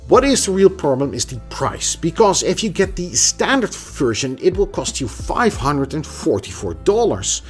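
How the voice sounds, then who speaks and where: A man's voice: fairly high pitch, big pitch swings, normal speed, few pauses, faint music behind. A man giving a casual, opinionated tech review in a conversational manner. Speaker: product reviewer.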